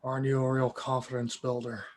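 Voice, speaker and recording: A man's voice: mid-range voice, completely flat delivery, a little fast, natural voice. A man reading the part of a scrappy, sarcastic hero, wisecracking and exclaiming. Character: Mario, scrappy sarcastic working-class man in danger.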